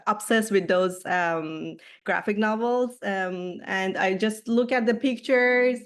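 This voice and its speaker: Slow, hesitant woman from Iran. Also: medium pitch. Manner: hesitant, many ums and uhs. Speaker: children's book illustrator and author, guest. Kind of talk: casual interview.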